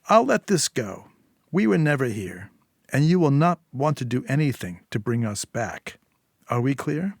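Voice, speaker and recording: Male narrator as storybook crime fixer: cool, confident, menacing, deliberate and sardonic, dry close sound. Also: mid-range voice, big pitch swings, vocal fry, no room sound, full character voice. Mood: stern warning.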